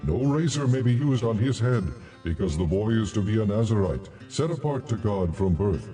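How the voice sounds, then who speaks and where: A man's voice: on the low side, expressive, normal speed, mellow, faint music behind. An actor playing a solemn, commanding male angel in a dramatized audio Bible, with long reverb on the voice. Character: angel of the Lord, solemn male heavenly messenger. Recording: long hall reverb, distant voice.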